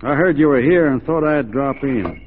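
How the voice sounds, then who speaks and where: Actor as an easygoing cowboy, thin radio sound. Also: male voice, mid-range voice, animated, narrow, tinny audio, slight background noise, close to an everyday voice. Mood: steady, frank. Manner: folksy, unhurried, plain. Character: easygoing, plain-spoken, steadfast Texan cowboy. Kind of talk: 1940s radio drama acting. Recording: thin band-limited 1940s radio recording.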